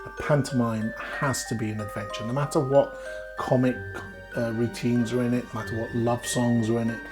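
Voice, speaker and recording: Middle-aged British man, mid-pitched, lively interviewee explaining his craft, light music underneath. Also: fairly quick. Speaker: pantomime writer and actor. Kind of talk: interview talk about his work.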